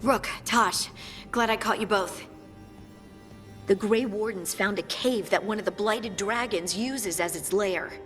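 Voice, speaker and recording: A woman's voice: mid-range voice, measured pace, a bit bright, lightly acted. A woman voicing an earnest, hopeful young dwarf scout in a video game, polite and a little tentative. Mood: brisk, businesslike. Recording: music behind the voice. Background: game soundtrack music.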